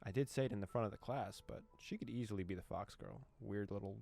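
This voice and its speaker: Deep-voiced young man reading a game, joking. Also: quick pace, vocal fry, over music. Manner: playful, joking, laughs often. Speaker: gaming YouTuber. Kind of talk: reading a visual novel aloud with commentary.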